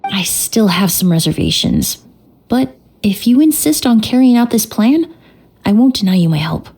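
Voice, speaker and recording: An earnest, breathy young woman. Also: medium pitch, expressive, normal speed, darkish tone. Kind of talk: video game voice acting.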